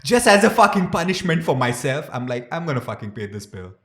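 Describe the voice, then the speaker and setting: A man's voice: on the high side, big pitch swings, brisk, fairly bright tone, reverberant. A man co-hosting a podcast, blunt and frank in casual chat, swearing freely. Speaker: podcast co-host.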